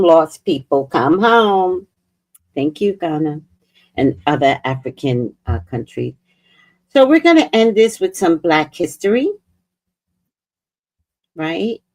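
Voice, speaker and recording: A woman's voice: low-pitched, very animated. A woman vlogger giving blunt, profane commentary as she reacts to clips. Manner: outspoken, profane, drawn-out emphatic delivery. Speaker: vlogger host.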